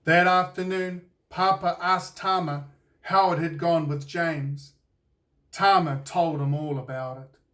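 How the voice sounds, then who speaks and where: A man with a New Zealand accent reading a children's storybook aloud in a deliberate, expressive storytelling way. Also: a little high, recorded from a distance. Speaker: children's author reading his own book. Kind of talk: scripted storybook narration for children.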